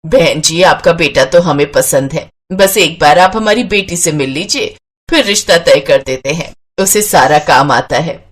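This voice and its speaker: A woman voicing a middle-aged Indian mother in a storybook cartoon, firm and eager to please, speaking in a slow, emphatic way. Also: low voice, voice pushed down. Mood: polite, persuasive.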